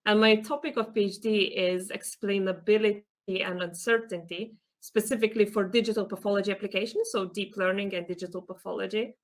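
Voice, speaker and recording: A woman's voice: medium pitch, steady tone, fairly quick, hardly any pauses. A young woman guest explains a research topic in an earnest, careful way, stopping now and then to find her words. Her voice sits in a middle range. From Lithuania. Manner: earnest, explanatory, some hesitations. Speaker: PhD student guest.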